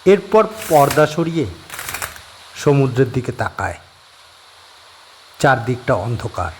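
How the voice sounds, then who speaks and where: A man narrates a scripted horror audio story, laying out each scene in a steady, descriptive way. His voice sits at an ordinary pitch for a man, and a faint hiss runs under the recording. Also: slow pace.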